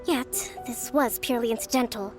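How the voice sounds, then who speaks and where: A high-pitched young woman commander voiced by an actress speaks with gracious composure. Her phrasing is measured, formal and diplomatic, in the manner of a video game character, over clear background music. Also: fairly even intonation, close to an everyday voice. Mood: gently firm. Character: composed, gracious young female military strategist and leader. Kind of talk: scripted video game voice acting.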